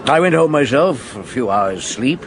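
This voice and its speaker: An actor playing a seasoned, wry police chief inspector telling of old cases in a conversational radio drama. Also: male voice, mid-range voice, lively intonation, moderate pace, slight background noise, natural voice. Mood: wry, recounting.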